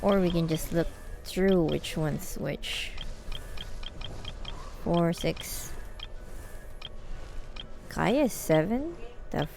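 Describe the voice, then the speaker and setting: A woman with a lowish, creaky voice streams a game live. She thinks aloud casually and unhurriedly, her pitch moving around a lot. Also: expressive, drawn-out words, long pauses, warm tone, a little hiss or hum. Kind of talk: casual live gameplay commentary. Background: faint game music.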